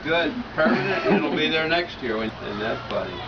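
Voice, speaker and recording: Middle-aged man, highish creaky voice, swooping intonation, chuckling casual talk, muffled and very noisy amateur audio. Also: expressive. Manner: chuckling, unhurried. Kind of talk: casual chat.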